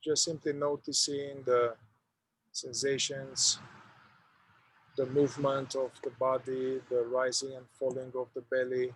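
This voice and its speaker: A man leading a guided meditation, speaking in an unhurried, halting way with long pauses. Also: mid-range voice, monotone, breathy edge, no echo, poor audio.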